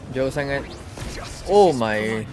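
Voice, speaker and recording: A man's voice: mid-range voice, expressive, takes it slowly, a little mellow. A Malaysian-accented man, a native Malay speaker, giving casual, slangy, reactive live commentary as a game streamer. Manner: slangy, reactive, mixes two languages. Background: game music.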